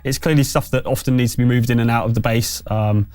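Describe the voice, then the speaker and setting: A man's voice: medium pitch, fairly even intonation, fast, darkish tone, clear, resonant tone, no room sound. A man working as game master, improvising tabletop narration in a conversational, explanatory way with hesitant phrasing.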